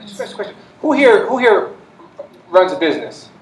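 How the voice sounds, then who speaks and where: A man moderates a panel in a high voice, energetically putting questions to the audience. He sounds far from the mic, with the echo of a big hall around him. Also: normal speed. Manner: energetic, addresses the crowd. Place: large conference hall.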